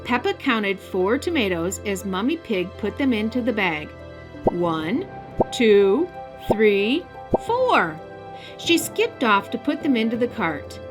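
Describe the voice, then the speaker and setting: A woman hosting a children's story time, narrating a scripted picture book in a deliberate, expressive style. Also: fairly high pitch, over music. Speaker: storyteller, host.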